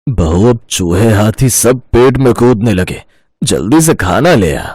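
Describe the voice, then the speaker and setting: A man playing a jovial, hungry older father-in-law with a husky voice and a hearty, insistent manner in a storybook tale. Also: fairly low pitch, expressive, unhurried, darkish tone, no room sound, studio quality. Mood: impatient. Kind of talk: animated Hindi storybook dialogue.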